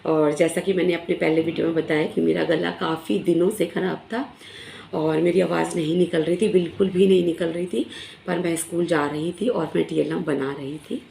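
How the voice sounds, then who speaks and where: A middle-aged woman teacher with a hoarse, recovering throat, talking earnestly to fellow teachers into a phone camera, pausing often with fillers. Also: lowish voice, fairly even intonation, warm tone, a little hiss or hum. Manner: earnest, frequent filler pauses. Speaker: primary school teacher. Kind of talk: casual explanatory talk to fellow teachers. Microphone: phone camera in selfie mode. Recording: echoey room.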